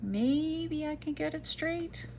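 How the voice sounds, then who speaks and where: A middle-aged woman from the Netherlands with a Dutch accent, chatting to herself and her viewers while making a journal page, sometimes dropping to a soft whisper. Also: sits high, slow pace, slight background noise. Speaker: junk journal crafter. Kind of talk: casual craft-along narration.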